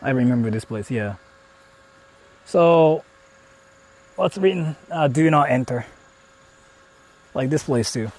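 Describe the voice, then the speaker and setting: A young man talks to his live-stream audience through a phone mic as he wanders outdoors. His voice is slightly husky and bounces up and down in pitch, and his casual chatter is full of fillers and swearing. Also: lively intonation, slow, pauses often, darkish tone, rough recording. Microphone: phone held while streaming.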